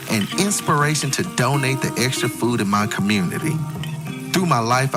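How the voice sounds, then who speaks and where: A man with a fairly low voice reads a scripted public service spot. He sounds earnest, and music plays clearly behind him. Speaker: TV host and chef, spokesman.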